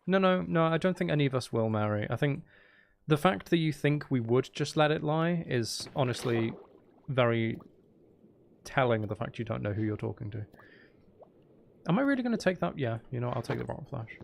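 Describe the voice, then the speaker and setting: A man's voice: medium pitch, expressive, brisk, creaky, slight background noise. A man hosting a casual gaming livestream, chatting in a playful, teasing, rambling way and sounding a little tired. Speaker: livestream host.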